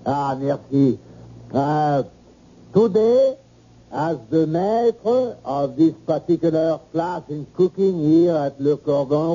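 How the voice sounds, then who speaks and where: A man's voice: a little high, dark tone, husky. A man playing a pompous, ceremonious French cooking-school master with a French accent, in old-time radio drama style on a muffled recording. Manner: ceremonious, halting formal delivery.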